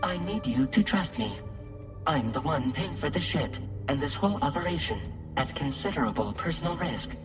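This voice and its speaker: A mysterious, faceless mannequin patron in a game. The voice sits fairly high for a man and is polite, measured and persuasive, with music clearly underneath. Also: sing-song. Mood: earnest, guarded. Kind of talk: video game cutscene dialogue.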